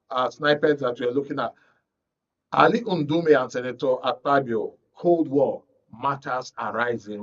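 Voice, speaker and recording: A man's voice: mid-range voice, a bit bright, far from the mic, highs cut off. A man with a Nigerian accent hosting a live current affairs programme on radio.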